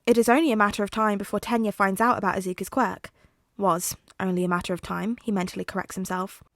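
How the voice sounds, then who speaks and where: A woman's voice: medium pitch, fairly even intonation, quick pace, dry recording, studio quality. A woman reading a scripted story aloud as its narrator. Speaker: podfic narrator.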